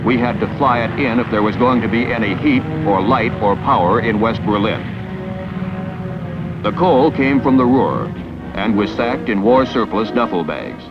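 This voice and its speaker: A man's voice: lowish voice, normal speed. A man giving earnest, matter-of-fact first-person narration in an old documentary film. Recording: muffled old film soundtrack under loud music.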